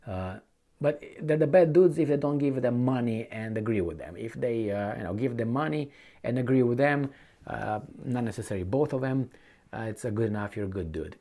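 A man's voice: mid-range voice, mellow. A middle-aged man giving off-the-cuff news commentary in an opinionated, sardonic, conversational way. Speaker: political commentator and host. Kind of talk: off-the-cuff news commentary, reading articles aloud. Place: indoor home office or study with bookshelves.

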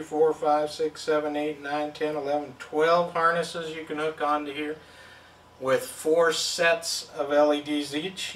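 A man's voice: fairly high pitch, fairly bright tone, far from the mic, a little hiss or hum. A man giving a plain, step-by-step product demonstration at a table indoors. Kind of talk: hands-on product demo. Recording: roomy sound from off-mic.

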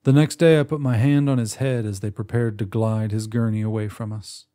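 A man narrating a first-person short story in a measured, expressive way with dramatic pauses. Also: fairly low pitch. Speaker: audiobook narrator.

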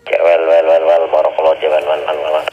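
A man voicing a sly old male village shaman, talking in a slow, mocking drawl from away from the mic. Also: very deep voice, monotone, breathy edge, muffled recording, pitched below the performer's own voice.

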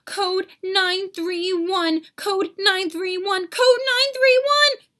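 A woman voicing an excitable, easily alarmed cartoon germ in an exclamatory, melodramatic storybook style. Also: very high voice, takes it slowly, voice pushed up, exaggerated voice. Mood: panicked.

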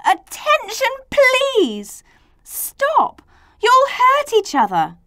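A woman's voice: extremely high pitch, wildly swooping pitch, very slow, fairly bright tone, pitched above the performer's own voice, exaggerated voice. A woman voicing an anxious, flustered letter character urgently pleading for peace in a children's storybook.

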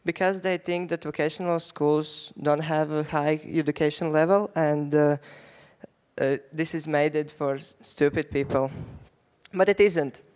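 Latvian teen guy speaking at a big conference panel into a handheld mic, higher clear voice, bit halting. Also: fairly even intonation, darkish tone. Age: teens. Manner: halting non-native phrasing with fillers. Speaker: youth delegate. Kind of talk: prepared panel speech. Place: large conference hall stage.

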